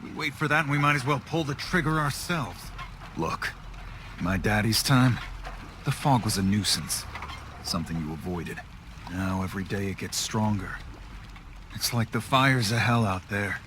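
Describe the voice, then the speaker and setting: A man's voice: fairly low pitch, expressive, moderate pace, background noise, close to an everyday voice. A man acting a blunt, hostile and bitter islander who sells guns in a video game. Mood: bitter, grim. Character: hot-headed, bitter islander gun seller, a grown man.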